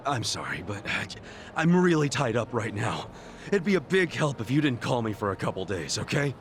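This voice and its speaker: A man's voice: mid-range voice, big pitch swings, normal speed, a bit bright, a little hiss or hum. A man playing a hot-headed but earnest guy in a video game cutscene, talking in blunt, emphatic slang. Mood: exasperated.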